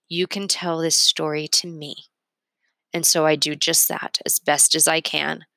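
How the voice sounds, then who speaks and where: A woman's voice: deep voice, sharp tone, dry recording. A woman reading a storybook aloud expressively, narrating in the first person.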